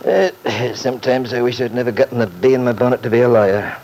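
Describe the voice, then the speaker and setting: An actor playing a plainspoken, opinionated middle-aged Scottish country lawyer in an old-time radio drama, folksy and firm. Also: male voice, medium pitch, steady tone, quick pace, a little mellow, close to an everyday voice. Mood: musing. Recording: noisy vintage radio recording.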